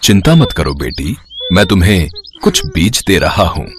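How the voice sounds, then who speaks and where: A man's voice: low-pitched, huge pitch swings, moderate pace, noisy background. A man voicing a kindly old holy man in a moral story, reassuring and fatherly. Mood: calm, reassuring. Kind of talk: Hindi moral story voice acting.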